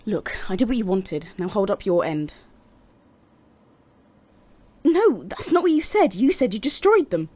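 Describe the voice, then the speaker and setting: An actress playing a brisk university vice-chancellor in an audio drama. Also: female voice, medium pitch, very animated, darkish tone. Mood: pleading, agitated. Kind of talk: scripted audio drama dialogue.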